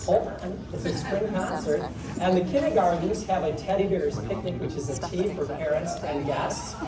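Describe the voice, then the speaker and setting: A man directing a school play gives a rambling thank-you speech over a microphone in a school auditorium, calling names out loudly. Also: fairly high pitch, background noise. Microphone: handheld microphone through PA, recorded from the audience. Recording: echoey hall from far off with crowd.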